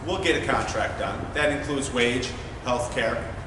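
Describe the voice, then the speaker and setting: A man running for mayor, laying out his plans at a forum in a measured, methodical way with frequent "uh" fillers. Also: medium pitch. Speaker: mayoral candidate. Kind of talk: campaign forum speech. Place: large meeting hall. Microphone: distant room mic. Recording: echoey hall from far off, noisy.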